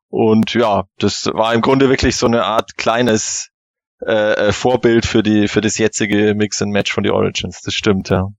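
A man's voice: on the low side, dry recording. A man on a podcast panel, reminiscing in a casual, conversational way.

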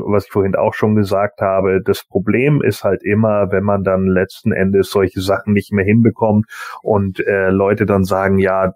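A man's voice: lowish voice, a little mellow, no echo. A man speaking as a panelist in a casual fan podcast discussion.

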